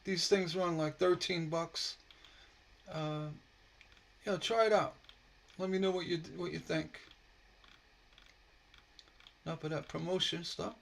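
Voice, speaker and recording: A man teaching stained glass on a casual live stream, slightly hoarse from a sore throat, relaxed and rambling with many fillers. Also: fairly high pitch, measured pace, long pauses, slight background noise. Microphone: computer mic on a live stream.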